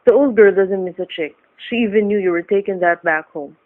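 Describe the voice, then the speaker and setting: A man's voice: sits high, brisk, no echo, narrow, tinny audio, natural voice. An actor playing a breezy, slangy young policeman in a vintage radio thriller. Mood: pleased, wry. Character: brisk, admiring young policeman nephew. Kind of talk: vintage radio drama acting. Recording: thin dry old radio recording.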